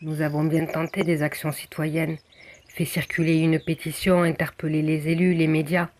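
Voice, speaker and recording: A person from southwest France reciting a poem in measured, declamatory phrases with deliberate pauses. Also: mid-range voice, level tone. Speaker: poem reader. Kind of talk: recited spoken-word poem.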